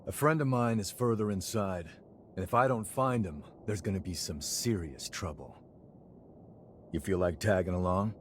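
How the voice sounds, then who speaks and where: A man's voice: low-pitched, moderate pace, slight background noise, close to an everyday voice. An actor playing a brash but friendly young male fighter in a video game cutscene, with a casual, cocky way of talking.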